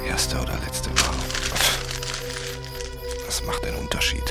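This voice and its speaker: A man acting a first-person writer-narrator in a German radio drama, with measured, emphatic storytelling. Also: very high-pitched, huge pitch swings, heavily acted. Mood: reflective. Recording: loud music and effects underneath.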